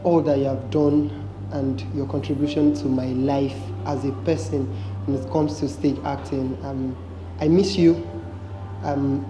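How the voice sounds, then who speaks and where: Man, flat intonation, heartfelt tribute, echoey room, background music. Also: a little high. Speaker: stage actor. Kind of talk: heartfelt spoken tribute to a late mentor.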